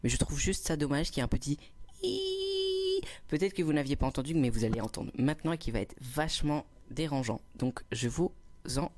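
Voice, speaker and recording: A man's voice: on the high side, big pitch swings, fairly quick, a little mellow. A young man doing a casual livestream, reading chat comments and telling teasing, self-mocking stories into a cheap little microphone. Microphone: cheap small clip microphone.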